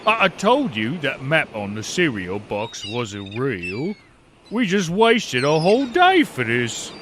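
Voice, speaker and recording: A man playing an eager, easily exasperated treasure hunter in a comic audio drama skit, animated and exaggerated. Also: mid-range voice, slow, slight background noise, exaggerated voice.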